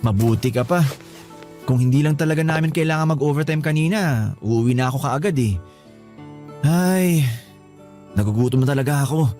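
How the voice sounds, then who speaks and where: An actor playing a tired young husband who pleads and gets flustered in a radio drama, with faint music behind. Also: male voice, a little high, moderate pace, long pauses, dark tone, lightly acted. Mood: weary. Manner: weary, pleading, flustered when pressed. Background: background music.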